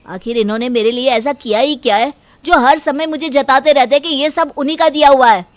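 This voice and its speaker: Proud, wronged younger brother, acted by a woman, very high pitch, firm and indignant, moral tale. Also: brisk, faint music behind, close to an everyday voice.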